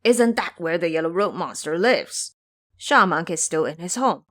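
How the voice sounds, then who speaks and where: A pig-man younger brother, played by a woman in an audio drama. He goads and placates in a coaxing, animated voice. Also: fairly low pitch, normal speed. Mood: urging. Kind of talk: audio drama character acting.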